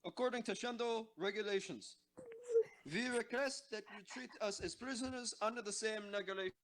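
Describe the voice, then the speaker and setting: A man's voice: high-pitched, a little fast, a bit bright, low-quality recording. A man playing a young tank soldier in an amateur audio drama. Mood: frantic.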